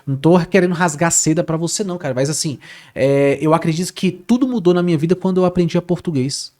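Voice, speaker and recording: Male guest, casual animated storyteller, repeats himself, lots of fillers. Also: a little high, fast. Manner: animated, repeats words, says "cara" often. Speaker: guest, Portuguese teacher.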